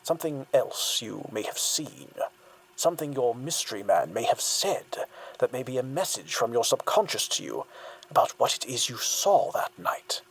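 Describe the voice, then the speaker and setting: A woman performs a soothing therapist who hides a sinister streak. The voice sits extremely low and husky, with lively swings of pitch. It coaxes and probes with false reassurance, in audio drama style. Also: moderate pace, heavily acted, low-quality recording. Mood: gently probing. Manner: coaxing, falsely reassuring, probing. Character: outwardly soothing, secretly sinister therapist doctor.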